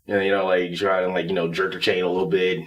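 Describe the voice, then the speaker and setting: A man telling anecdotes casually as a guest, animated and acting out his reactions as he goes. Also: deep voice, fast. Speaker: guest player on a talk show. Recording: distant mic, faint room noise.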